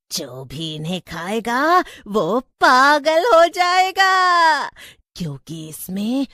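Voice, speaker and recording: A woman playing a gloating, cackling evil witch in an animated horror story. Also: high voice, drawn-out words, no echo, studio quality, full character voice. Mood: gloating, wicked. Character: gloating evil witch woman who sells cursed bread.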